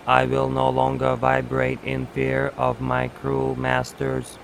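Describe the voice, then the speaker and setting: An actor playing a mistreated, whimpering office computer with a sly streak, in a slow, stilted, melodramatic delivery. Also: male voice, mid-range voice, completely flat delivery, gravelly, slight background noise, low-quality recording. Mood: pitiful.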